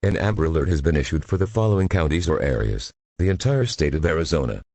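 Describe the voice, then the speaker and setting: Very deep-voiced man reading emergency alerts. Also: big pitch swings, fast talker, very noisy. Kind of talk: scripted emergency broadcast announcement.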